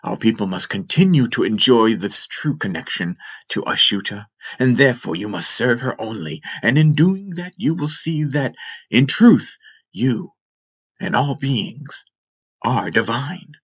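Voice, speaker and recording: An aging, wise father and ruler of a fantasy tribe, voiced by a male narrator, speaks in a deep, forceful voice. He is grave and earnest, exhorting with slow, emphatic pleas. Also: animated, highs cut off, exaggerated voice. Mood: earnest pleading. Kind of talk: fantasy audiobook character reading.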